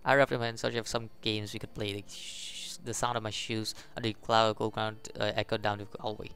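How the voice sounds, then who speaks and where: Low-voiced, halting male gamer reading aloud. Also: little pitch movement, a bit bright, clear, resonant tone. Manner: halting, stumbles over the text. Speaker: gaming video host. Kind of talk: reading a visual novel aloud with casual commentary.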